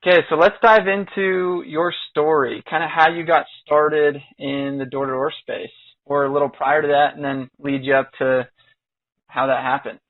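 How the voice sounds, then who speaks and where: An enthusiastic male podcast host speaks in an upbeat, casual way. His voice sits a touch high. The sound is dull and lo-fi. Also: level tone, normal speed.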